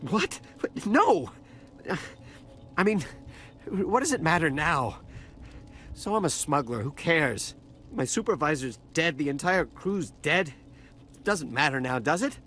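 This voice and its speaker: An actor playing a cowardly, frightened dock worker in a video game, with a stammering, hesitant and defensive way of talking. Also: male voice, a little high, big pitch swings, a little slow, pauses often, fairly bright tone, a little hiss or hum. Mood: defensive, resigned. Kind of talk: video game dialogue acting.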